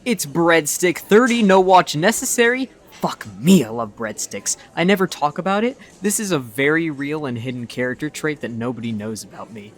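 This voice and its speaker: A man playing a cocky, ironic teenage boy in a game fan dub, slangy and cool, full of deadpan bravado. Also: a little high, normal speed, hardly any pauses, lightly acted. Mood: smug, enthused. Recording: music under the voice. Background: background music.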